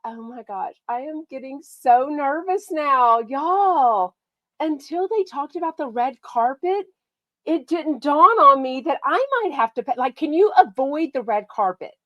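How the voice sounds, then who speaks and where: A chatty woman hosts a gossip livestream, excitable and quick to gush. A mild cold leaves her a bit nasal. Her voice sits fairly high and sounds dry and close. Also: no room sound, lo-fi sound. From Texas. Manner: bubbly and excitable, lots of "like" and "oh my gosh". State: a slight head cold, nasal. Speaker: podcast host.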